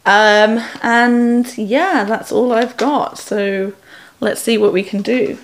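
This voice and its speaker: A woman's voice: on the high side, slow. A young English woman vlogging to her phone camera in a small bedroom, chatty and self-deprecating, with plenty of ums and likes. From England. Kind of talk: casual vlog chat to camera. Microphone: phone on tripod. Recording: roomy bedroom echo.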